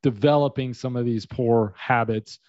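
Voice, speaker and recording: A man's voice: mid-range voice, fairly even intonation, moderate pace, darkish tone, no room sound. A male host who asks long, meandering questions padded with ums and uhs. His voice comes through dry, close and muffled on a video call. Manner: rambling questions full of fillers. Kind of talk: casual interview over a video call. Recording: dull lo-fi video call audio.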